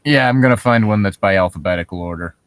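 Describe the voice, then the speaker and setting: A man's voice: lowish voice, fast, fairly bright tone, dry recording, studio quality. A man chatting casually as a podcast co-host, rambling and swearing freely as he riffs on horror stories. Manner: rambling, profane. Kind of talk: casual chat, riffing commentary on horror stories.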